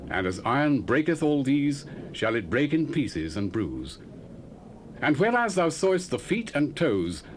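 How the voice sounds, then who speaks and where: A man's voice: medium pitch, slight background noise. An actor playing a young male prophet, speaking in solemn, formal, old-fashioned declamation in a dramatized scripture reading.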